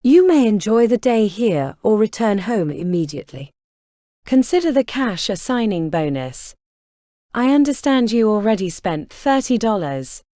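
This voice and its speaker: A woman playing a calm, businesslike corporate recruiter in an audiobook, speaking smoothly and unbothered. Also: mid-range voice, moderate pace, dry recording, clean studio sound, natural voice. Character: calm female corporate recruiter, coolly casual. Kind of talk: audiobook character reading.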